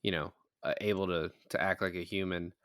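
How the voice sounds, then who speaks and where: A man's voice: low voice, steady tone, fairly quick, a bit bright, smooth. A man in a casual film discussion, opinionated and emphatic, swearing freely as he makes his points. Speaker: film podcast panelist.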